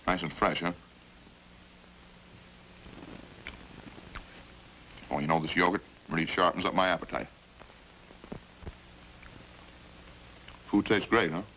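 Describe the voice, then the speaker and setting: An actor in a 1950s radio crime drama playing a chatty killer who confesses in a casual, folksy, matter-of-fact way. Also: male voice, low-pitched, fairly bright tone, low-quality recording. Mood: eager, chatty. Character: chatty, hungry male killer calmly confessing over supper. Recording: hissy old-time radio recording.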